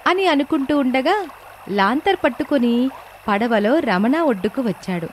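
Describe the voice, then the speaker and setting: A woman's voice: high-pitched, animated, unhurried, a little hiss or hum. A woman narrator reading a scripted moral tale in storytelling style.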